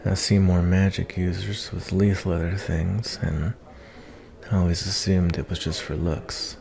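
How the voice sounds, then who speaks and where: A man playing a gentle, reflective cowboy bounty hunter in a softly spoken fantasy ASMR roleplay, talking in a folksy, kindly, rambling way. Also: level tone, a little slow. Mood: curious, friendly. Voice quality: low, gravelly.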